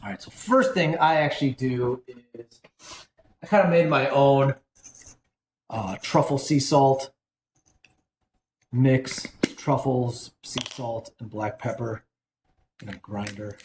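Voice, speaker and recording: A man's voice: mid-range voice, takes it slowly, pauses often, echoey room, noisy background. A man hosting a casual cooking demonstration, chatty and rambling, often correcting himself as he seasons a dish. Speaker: cooking show host.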